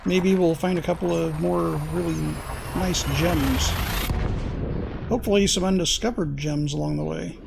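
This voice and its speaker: Very gravelly, hoarse male game streamer. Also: fairly high pitch, steady tone, background noise. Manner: thinking aloud, unhurried, frequent throat clearing. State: hoarse, losing his voice. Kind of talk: casual gameplay commentary.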